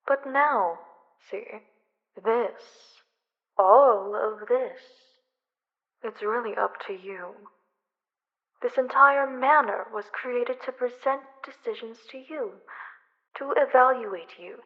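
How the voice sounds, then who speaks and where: A woman playing a theatrical, obsessive female villain who taunts in a drawn-out, dramatic way in an audio roleplay. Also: a little high, lo-fi sound. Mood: gloating, taunting.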